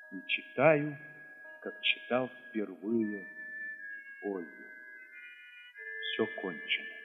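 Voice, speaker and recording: A man with a husky voice reads a literary first-person story theatrically, moving between hushed passages and sudden swells. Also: lowish voice. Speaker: narrator reading an audio play. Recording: reverberant, slightly dull sound.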